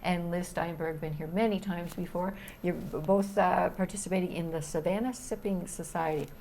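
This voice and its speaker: A woman's voice: on the low side, fairly even intonation, normal speed, gravelly, mellow, slight background noise. A woman with a Canadian accent hosting a conversational TV interview, often repeating words for emphasis. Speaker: interview host.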